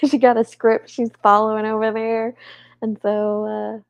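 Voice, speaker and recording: A woman in her forties, congested and a little rough-voiced, chatting casually with her live chat and laughing often. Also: on the high side, completely flat delivery, unhurried, dry recording. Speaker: livestream host.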